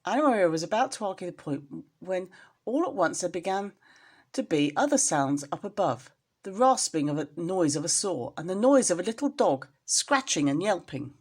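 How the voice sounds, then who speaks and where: Animated, lower-pitched woman reading a storybook. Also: moderate pace, breathy edge. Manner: expressive, asks the young listener questions.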